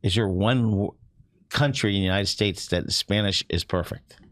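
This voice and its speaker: A man's voice: on the low side, a bit bright. Casual male interview host. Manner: casual, quick follow-up questions. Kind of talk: interview questions.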